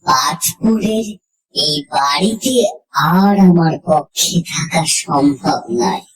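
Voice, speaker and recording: A woman narrating a scripted horror story in the first person, suspenseful and dramatic, with deliberate pauses. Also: sits low, lively intonation, low-quality recording. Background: music.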